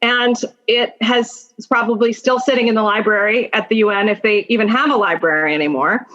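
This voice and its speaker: A woman's voice: fairly high pitch, brisk, a bit bright, lo-fi sound. A woman in her fifties speaking as an interview guest, telling personal stories conversationally with emphatic repetition of key phrases. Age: 50s.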